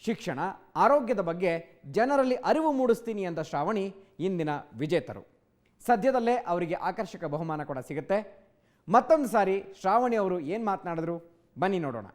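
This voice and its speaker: A man's voice: high-pitched, animated, moderate pace. A man presenting a television programme in a scripted style.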